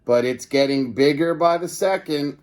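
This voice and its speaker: A man's voice: a little high, moderate pace, darkish tone, natural voice. A man voicing a curious, observant schoolboy in a children's storybook reading.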